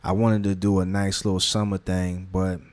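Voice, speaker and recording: Low-voiced man with a laid-back slangy drawl. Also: moderate pace. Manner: relaxed slangy talk with many restarts and fillers. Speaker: magazine founder. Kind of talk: casual interview conversation.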